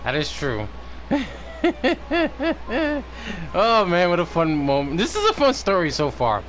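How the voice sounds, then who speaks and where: A man's voice: a little high, sing-song, unhurried, a bit bright, a little hiss or hum. A man giving casual, rambling live gameplay commentary, swearing and prone to giggling. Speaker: game streamer.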